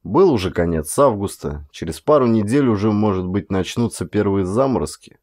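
A man narrating first-person fiction as an audiobook actor, dramatic, brooding and expressive. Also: on the low side, normal speed, no echo, studio quality.

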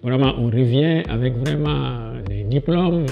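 A middle-aged man with a Senegalese French accent speaks into a lapel mic in his office, his voice gravelly and mid-pitched. He talks in halting bursts, repeating words as he restarts, then picks up energy when telling anecdotes. The sound is a little dull, with faint music underneath. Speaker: education official interviewed as guest. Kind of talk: interview answers, personal anecdotes. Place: office with large window, indoors.

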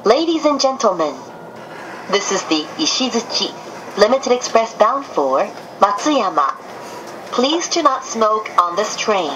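A woman's voice: mid-range voice, sing-song, fairly bright tone, poor audio. A woman reading a polite, scripted automated announcement, played over a train's speakers. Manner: polite, measured, precisely scripted. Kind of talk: recorded scripted public announcement. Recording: train PA with background noise.

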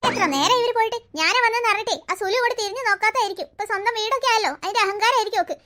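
A woman's voice: extremely high pitch, rapid-fire, bright, forceful tone. A woman voicing a scheming old grandmother who sighs, complains and mutters to herself in a one-person family skit.

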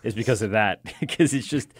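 A man's voice: fairly low pitch, a little fast, husky, no echo. A man hosting a casual podcast chat in an informal, joking, rambling way, close on a studio microphone. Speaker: podcast host. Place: small radio studio. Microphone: close studio microphone on boom arm.

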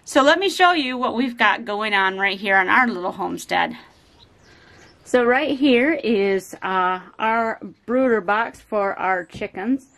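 A woman's voice: mid-range voice, lively intonation, unhurried, fairly bright tone. A woman in her forties, a homesteader giving a chatty how-to vlog with many fillers, filmed on a handheld phone on a porch and indoors. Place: porch outdoors, then inside a small home. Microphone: handheld phone camera.

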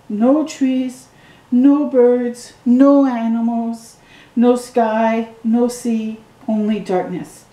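Slow, mellow-voiced woman reading a storybook. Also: fairly high pitch, roomy sound, poor audio. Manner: slow, gentle reading with excited asides to the viewer. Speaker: storytime reader. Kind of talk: reading a picture book aloud to children. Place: living room at home.

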